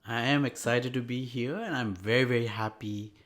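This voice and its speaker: A man speaking as a guest expert, explaining things conversationally in a halting way with repeated words. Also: medium pitch, a bit bright. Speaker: guest expert, Ayurvedic doctor.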